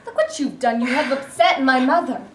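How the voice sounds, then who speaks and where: A woman's voice: sits high, sing-song, normal speed, a little hiss or hum. A woman reading an indignant grieving daughter. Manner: protective and sharp. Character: indignant twenty-year-old daughter in mourning. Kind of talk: staged play reading. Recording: echoey room, distant mic.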